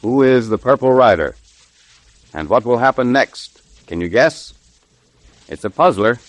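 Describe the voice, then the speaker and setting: A man's voice: mid-range voice, animated, unhurried, dry recording. A man announcing and advertising to children in emphatic, salesmanlike 1930s radio style. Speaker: announcer and cereal pitchman. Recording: vintage 1930s radio recording.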